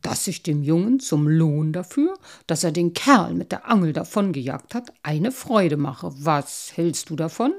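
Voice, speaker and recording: Storybook water-sprite dad, played by a woman: measured, appreciative, kindly, unhurried, lower register. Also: expressive. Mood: approving. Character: water-sprite father, proud, measured, kindly grown man. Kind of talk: storybook character voice.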